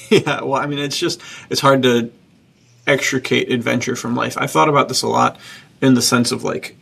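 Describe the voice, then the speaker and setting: A man hosting a podcast in casual chat, talking in an informal, enthusiastic, emphatic way with a husky, gravelly voice. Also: medium pitch, flat delivery, normal speed, fairly bright tone. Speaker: podcast host, author and publisher.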